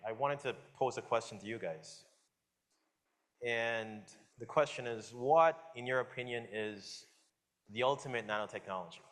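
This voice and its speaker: A man in his forties with a slight Caribbean accent, his voice sitting in a middle pitch. He gives a relaxed public lecture, tossing questions out to the audience. His talk is loose and friendly, full of fillers and restarts. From Guyana, raised in Jamaica. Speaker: guest lecturer, professor.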